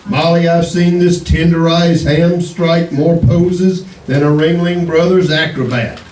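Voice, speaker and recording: Give me an actor as a sardonic doctor, echoey sound. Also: male voice, a little high, steady tone, measured pace, a little mellow, natural voice. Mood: sarcastic. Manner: sarcastic, dry. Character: sardonic, needling doctor, exasperated with his pompous friend. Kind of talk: 1940s radio comedy acting before a live audience. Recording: echoey stage, distant mic, noisy.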